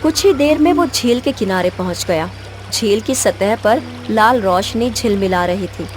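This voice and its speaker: A woman's voice: medium pitch, animated, a little slow. A woman storyteller giving scripted, dramatic narration of a fairy tale. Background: background music.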